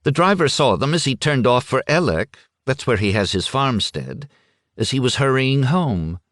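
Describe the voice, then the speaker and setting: A man reading an audiobook and voicing an anxious, nervous middle-aged village wife who talks in hesitant, hurried bursts. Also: medium pitch, animated, a bit bright, no echo, clean studio sound, voice pushed up, lightly acted. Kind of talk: audiobook character voice.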